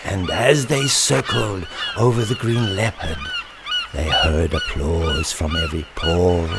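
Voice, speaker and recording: A man reading a story as its author, theatrically, with dramatic drawn-out phrasing that drops into hushed whispers. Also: mid-range voice, wildly swooping pitch, vocal fry, very noisy.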